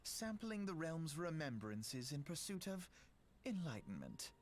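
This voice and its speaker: A man's voice: on the high side, sing-song, a little slow, a little hiss or hum. A man voicing a polite, earnest young male scholar in a video game, making courteous, formal introductions.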